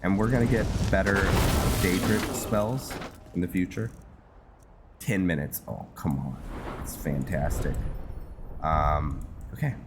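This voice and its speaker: A man giving casual gameplay commentary, unhurried and halting as he thinks aloud. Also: low voice, noisy background. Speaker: game streamer narrating his roleplay playthrough. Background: game music.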